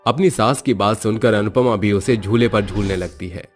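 A man's voice: fairly low pitch, normal speed, soft background music. A man narrating a scripted story as its storyteller.

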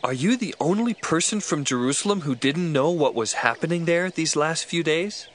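A man's voice: on the high side, expressive, moderate pace, slight background noise, natural voice. A man playing an earnest disciple in an audio Bible drama, speaking emphatically. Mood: incredulous. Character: earnest, amazed male disciple on the road.

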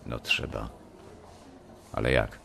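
An actor playing a laconic, guarded young banker in a radio drama, giving terse replies. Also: male voice, extremely low pitch, flat delivery, slow, gravelly, sharp tone, slight background noise, exaggerated voice.

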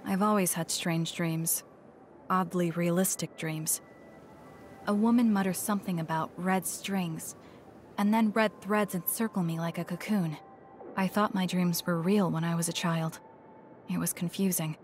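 A woman's voice: lowish voice, fairly even intonation, a little slow, a little hiss or hum, natural voice. An actress playing an aloof young female soldier in an anime-style video game, curt and matter-of-fact. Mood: reflective.

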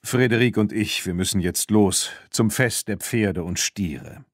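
A man voicing a calm, outwardly hospitable man who speaks with unhurried, sly courtesy in an audiobook. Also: on the low side, no echo, clean studio sound. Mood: calm, smooth.

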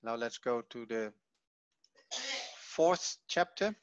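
A man giving an online language lesson, reading from a textbook and explaining it in a halting, explanatory way. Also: mid-range voice, animated, clear, resonant tone, dry recording, highs cut off. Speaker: Hebrew teacher. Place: online video call.

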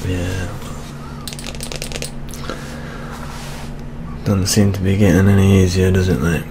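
A young British man playing a weary, jaded older police detective in a soft-spoken ASMR roleplay, muttering with gloomy gallows humour. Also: deep voice, flat delivery, a little fast, background noise, natural voice. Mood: weary, grim. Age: young adult.

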